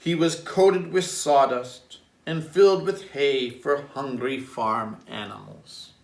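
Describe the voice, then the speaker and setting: A man, a priest, reading a children's story aloud in an expressive, deliberate way and adding reflective asides. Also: mid-range voice, vocal fry. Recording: roomy echo, distant microphone.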